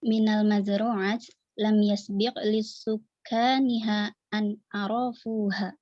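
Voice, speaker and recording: Young woman student, slow hesitant replies, dry muffled call sound. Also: medium pitch, little pitch movement, a little mellow, no room sound. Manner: hesitant, slow, answers briefly. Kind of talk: reading aloud in online class.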